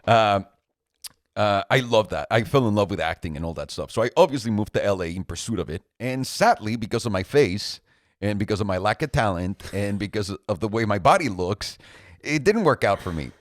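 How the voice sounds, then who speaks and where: Low-voiced man, joking podcast host. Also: lively intonation, brisk, no echo, clean studio sound. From Mexico. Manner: joking, talkative, laughs often. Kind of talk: casual chat.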